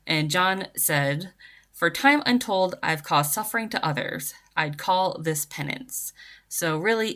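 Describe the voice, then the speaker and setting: A woman's voice: sits low, a bit bright. A woman giving earnest, articulate prepared commentary as a listener's voice message. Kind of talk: prepared, scripted-sounding commentary.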